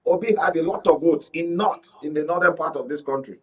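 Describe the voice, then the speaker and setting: A man's voice: on the high side, brisk, husky. A Nigerian man giving political commentary as a studio guest, speaking emphatically with rhetorical repetition. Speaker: media analyst, studio guest. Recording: dull lo-fi audio, distant mic.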